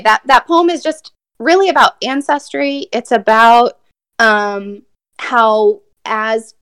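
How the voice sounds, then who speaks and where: A woman's voice: on the high side, fairly bright tone, smooth. A woman poet from Brazil giving a reflective, thoughtful interview answer, with many fillers and restarts.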